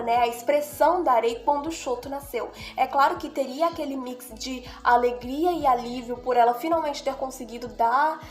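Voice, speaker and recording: A young woman with a nasal, stuffed-up voice from a cold talks through a heartfelt fan analysis. Her voice sits slightly high, and she keeps checking in with the viewer as she goes. Soft music plays faintly in the background. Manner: earnest, heartfelt, repeats "né?" and "sabe?". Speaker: video creator analyzing anime. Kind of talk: casual analysis monologue.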